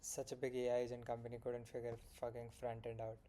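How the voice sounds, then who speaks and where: A man's voice: medium pitch, completely flat delivery, fast talker, mellow, a little hiss or hum. A young man with an Indian accent talking through his day in a frank, rambling late-night vlog, full of fillers and self-corrections. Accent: Indian English. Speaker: solo founder vlogging his progress.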